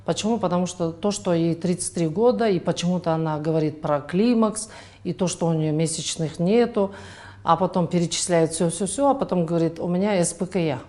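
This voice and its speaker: Middle-aged lady doctor chatting slow with lots of ums, low mellow voice. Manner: unhurried, many hesitation sounds. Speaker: fertility doctor, guest. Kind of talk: conversational interview answers.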